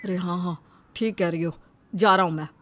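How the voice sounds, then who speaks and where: A woman voicing a mild young husband who reasons and placates softly in a dramatized story. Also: fairly low pitch, steady tone, moderate pace, a little hiss or hum, close to an everyday voice. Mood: placating. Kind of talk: dramatized Hindi moral story.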